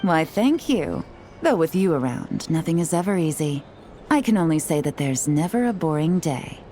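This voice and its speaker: A woman's voice: sits low, big pitch swings, moderate pace, mellow, faint music behind. A woman playing a shrewd government official in a video game, wry, blunt and guarded. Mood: wry, wary. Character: shrewd, dry-witted female government official.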